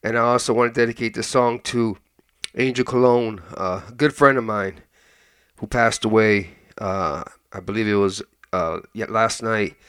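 Hoarse man hosting a DJ mix show. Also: on the low side, moderate pace. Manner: relaxed and conversational, with many ums and uhs. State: hoarse, recovering from illness. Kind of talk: casual radio-style mix show talk.

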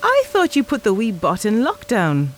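A woman's voice: a little high, wildly swooping pitch, normal speed, background noise. A woman playing a wry, playful, skeptical girlfriend in an audio drama. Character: teasing, skeptical girlfriend, a chocolatier.

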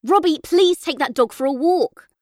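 A woman's voice: high voice, moderate pace, a little mellow, dry recording, clean studio sound, voice pushed up, natural voice. A woman voicing an annoyed mother in an audiobook, scolding and ordering her son about with emphasis. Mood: firm, impatient.

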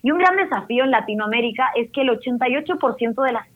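Chilean woman founder on a muffled remote line, higher voice, lively storyteller. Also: quick pace. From northern Chile. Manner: animated storytelling, mixes in English terms. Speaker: startup founder guest. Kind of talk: remote podcast interview.

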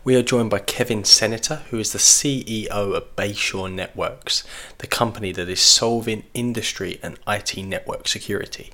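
A man's voice: lowish voice, fairly even intonation, normal speed, hardly any pauses, bright, forceful tone. A man announcing a podcast intro in a scripted, upbeat way.

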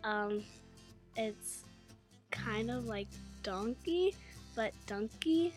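A woman's voice: a little high, lively intonation, extremely slow, soft background music. A young woman, the guest's daughter, answering questions hesitantly and with ums.

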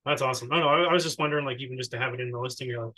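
A man with a bright, forward voice at a medium pitch. He hosts a casual interview, putting questions in an informal, curious and loosely phrased manner. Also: very fast. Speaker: podcast host.

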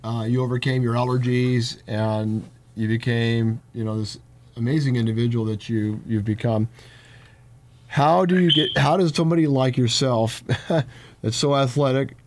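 A man's voice: medium pitch, steady tone, moderate pace. A man hosting a radio interview show, upbeat and repeating his questions as he steers the talk. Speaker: radio host.

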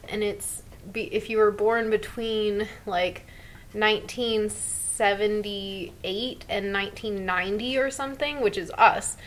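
A woman in her thirties talks in a casual, earnest way. She sounds upbeat and keeps slipping "like" into her sentences as she co-hosts a relaxed conversation. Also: medium pitch. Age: 30s. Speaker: podcast co-host. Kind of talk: casual chat.

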